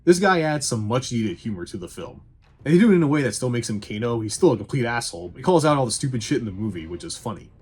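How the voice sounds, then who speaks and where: A man's voice: mid-range voice, very animated, quick pace, a little mellow, slight background noise. A man doing a casual, opinionated review voice-over in a blunt, sweary way with wry asides. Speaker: video essay host reviewing movies. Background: background music.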